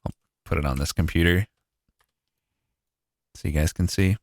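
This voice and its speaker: Low-voiced Canadian man chatting while gaming. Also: moderate pace, dry recording, studio quality. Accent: Canadian, Maritime. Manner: chatty, swears casually, thinks aloud while playing. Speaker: streamer. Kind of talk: casual gameplay livestream chat.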